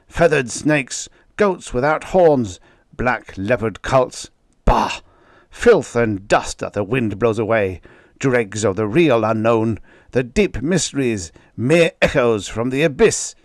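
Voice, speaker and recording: A man's voice: mid-range voice, no room sound, studio quality, close to an everyday voice. A man voicing an ancient, arrogant male sorcerer who rants and mocks in archaic speech. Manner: ranting, contemptuous, archaic speech.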